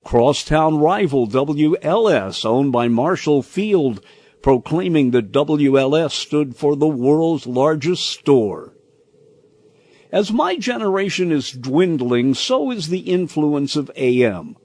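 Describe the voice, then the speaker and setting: An older American man reading a scripted, nostalgic personal commentary in a deliberate, expressive storytelling manner. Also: on the high side, no echo. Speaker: radio commentator.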